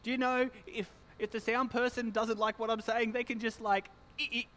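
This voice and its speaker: A man with a loud voice, a pastor giving church notices and a sermon in a chatty, self-mocking way, joking with the congregation. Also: very high voice, flat delivery, moderate pace. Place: church hall. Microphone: handheld or stand microphone.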